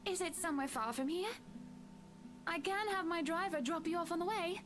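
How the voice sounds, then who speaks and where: Actress as rich, genteel socialite wife, high voice, sweetly bossy, visual novel drama over music. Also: female voice, normal speed. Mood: gracious. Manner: polite, affectionate, genteel. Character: gracious wealthy married woman, sweetly bossy socialite.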